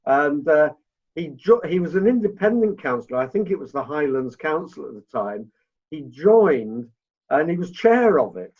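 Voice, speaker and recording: A man with a slightly raised pitch, recorded in muffled lo-fi sound. He talks casually as a podcast co-host, dropping in wry comments and asides. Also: sing-song, normal speed. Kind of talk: casual conversational interviewing. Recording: dull, muffled lo-fi audio.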